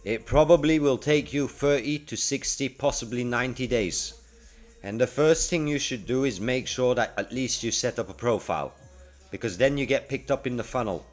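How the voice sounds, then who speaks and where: A man's voice: mid-range voice, normal speed. A man in his forties talking casually to livestream viewers in a laid-back, slangy way. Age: 40s. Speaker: livestreamer and freelance web developer. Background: music playing.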